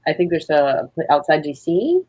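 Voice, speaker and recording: A woman's voice: deep voice, big pitch swings, moderate pace, mellow, no room sound. A woman chatting casually and effusively as a playwright guest on an interview show. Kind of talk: casual chat.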